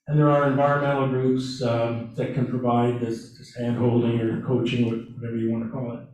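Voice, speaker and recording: A man giving a webinar presentation, speaking haltingly with restarts and fillers, recorded from a distance in a meeting room. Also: medium pitch, warm tone. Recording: echoey room, from far off.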